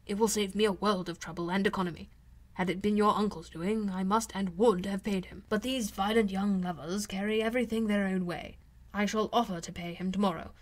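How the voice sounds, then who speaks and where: A wry older gentleman father from a classic novel audiobook, played by a woman. The delivery is measured, dry and formal, with a fond, advising temper. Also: medium pitch, natural voice. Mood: amused, wry. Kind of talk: audiobook character voice.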